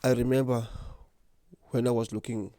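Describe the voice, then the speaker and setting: A man's voice: mid-range voice, little pitch movement, normal speed, mellow, dry recording, clean studio sound. A young man from South Africa who stutters, talking earnestly and unhurriedly about himself as the host of his own channel. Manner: stutters, with repeated words and blocks. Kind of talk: personal vlog talk to camera.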